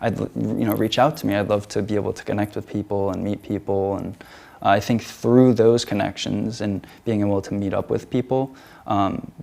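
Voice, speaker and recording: A man's voice: lowish voice, fairly even intonation, normal speed, gravelly, darkish tone. A young man from the United States, a featured artist answering interview questions in a hesitant way, with many fillers and restarts.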